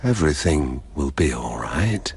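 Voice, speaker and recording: An actor playing a haunted, grief-stricken father who has lost everything, in brooding, halting, bitter verse for a radio drama. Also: rumbling low, very animated, darkish tone, slightly rough, exaggerated voice. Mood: bleak. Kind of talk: poetic radio drama monologue.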